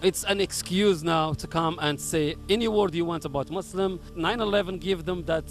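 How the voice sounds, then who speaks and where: A man from Morocco, a community leader, speaking earnestly in an interview with frequent restarts. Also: fairly high pitch. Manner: earnest, halting with repeated restarts. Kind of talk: recorded interview.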